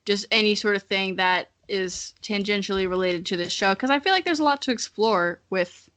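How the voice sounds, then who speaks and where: A woman's voice: medium pitch, normal speed, a bit bright. An American woman in her early twenties hosting a podcast in casual comedic chat, informal and self-deprecating. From Missouri, United States. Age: early 20s. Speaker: podcast host.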